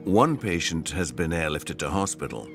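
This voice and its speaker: A man narrating a documentary with a wry touch. His voice sits very low but moves freely up and down. Music plays underneath him. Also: very animated, normal speed. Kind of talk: scripted narration.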